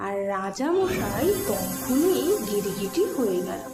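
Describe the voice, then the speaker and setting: Female cartoon narrator, unhurried storybook reading, animated pitch, echoey with prominent music. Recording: echoey voice under loud music.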